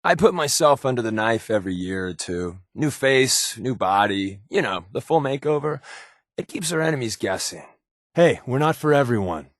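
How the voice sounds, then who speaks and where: An actor playing a wry, wisecracking American undercover spy companion in a video game, with a glib, sardonic and casual way of talking. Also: male voice, mid-range voice, expressive, moderate pace, no echo, clean studio sound, close to an everyday voice. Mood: sly, explanatory. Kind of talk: video game voice acting, conversational dialogue.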